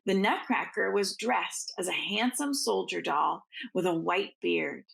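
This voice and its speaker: A woman reading a storybook aloud to children as a teacher, with expressive, deliberate phrasing and informal asides. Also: fairly low pitch, creaky, rough recording.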